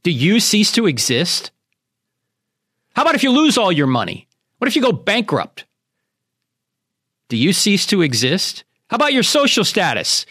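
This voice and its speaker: Animated, high-pitched American man hosting radio. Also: normal speed, long pauses, husky, dry recording, clean studio sound. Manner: emphatic, preacherly, addresses listeners directly. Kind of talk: radio monologue with rhetorical questions.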